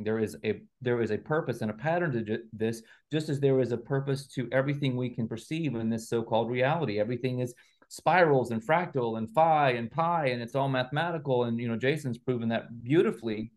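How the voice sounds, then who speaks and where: A man's voice: medium pitch, brisk, darkish tone. A man speaking as an interview guest, explaining speculative ideas in an articulate, assertive, conversational way. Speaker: interview guest, writer and researcher.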